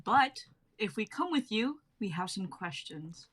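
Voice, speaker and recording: Actress as tough young female adventurer, guarded yet candid, natural mid-pitched delivery. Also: big pitch swings, a little slow, fairly bright tone, breathy edge, full character voice. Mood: wary. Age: young adult. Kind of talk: online dramatic script reading.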